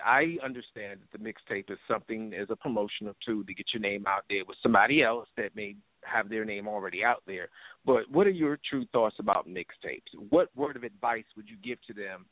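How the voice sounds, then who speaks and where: Male, casual interviewer. Slightly gravelly edge, heard down a narrow phone line. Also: lowish voice, dry recording. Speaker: show host. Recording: tinny phone-line radio show audio.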